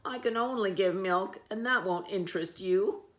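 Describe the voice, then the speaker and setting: A woman's voice: medium pitch, very animated, measured pace, a bit bright, voice pushed up. A woman voicing a sad, lonely cow in a storybook read-aloud, in a plaintive, pleading voice. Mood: glum. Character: sad, lonely female cow seeking a home.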